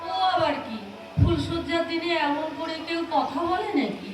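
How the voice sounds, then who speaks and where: Noisy echoing recording, actress as guileless young bride, Kolkata Bengali, high voice, chatty, comic audio drama. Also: female voice, expressive. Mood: naive, protesting. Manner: unhurried, guileless, exclaiming. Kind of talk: comedy audio play acting. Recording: very echoey, distant, clearly noisy.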